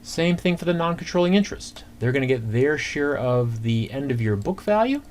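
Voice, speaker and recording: A man giving an informal screencast accounting lesson, talking through calculations step by step in a conversational, halting way. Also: medium pitch, lively intonation. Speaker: accounting instructor. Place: indoors at a computer. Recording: clear background noise, keyboard typing.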